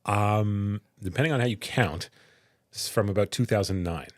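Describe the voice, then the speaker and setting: Big-voiced professor man, deep and dry. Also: moderate pace, no echo. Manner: animated, with frequent restarts. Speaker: political science professor. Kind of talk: conversational interview answers.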